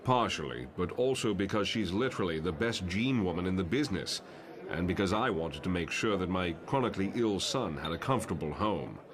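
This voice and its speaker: An actor playing a reflective, guilt-ridden professor in a motion comic, speaking in a measured, articulate way. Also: male voice, deep voice, expressive, a crowd in the background. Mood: regretful. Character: brooding mutant professor, reflective, guilt-ridden father in a wheelchair. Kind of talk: motion comic narration and dialogue.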